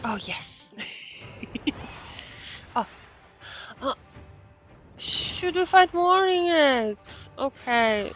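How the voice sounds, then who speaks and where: A woman doing casual live game commentary as a VTuber, murmuring to herself and exclaiming between pauses. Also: high-pitched, animated, fairly bright tone. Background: game music.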